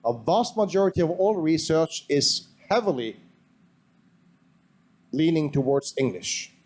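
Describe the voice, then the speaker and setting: A man with a Norwegian accent opens a conference session and introduces the next speakers. His voice sits a little high for a man. He talks in a measured way, pausing with fillers. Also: animated, a little mellow. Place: conference hall.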